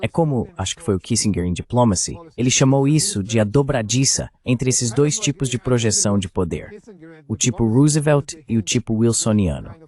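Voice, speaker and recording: A man's voice: medium pitch, expressive, fairly quick, darkish tone. A man with a Brazilian accent speaking as an interview guest, giving political analysis in a translated voice-over.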